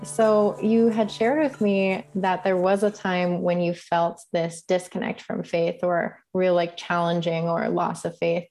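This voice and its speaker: Woman hosting an interview, lower voice, soft long questions. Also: level tone, unhurried, few pauses. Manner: gentle, long probing questions.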